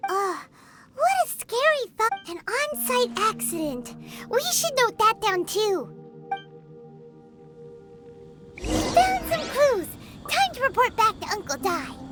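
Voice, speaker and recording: Tiny fairy sidekick, very high squeaky child voice, animated, curious, game acting, music behind. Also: female voice, measured pace, full character voice. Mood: chirpy. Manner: exclamatory, inquisitive.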